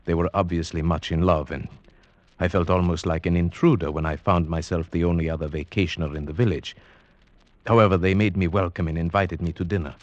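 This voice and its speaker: An actor playing a cultured Slavic gentleman in an old radio drama, speaking formally and with measured care. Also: deep voice, fairly even intonation, no echo, lightly acted. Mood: grave, brooding. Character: cultured Slavic gentleman recounting a grim werewolf tale. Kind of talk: 1940s radio drama narration. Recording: muffled vintage radio recording with music.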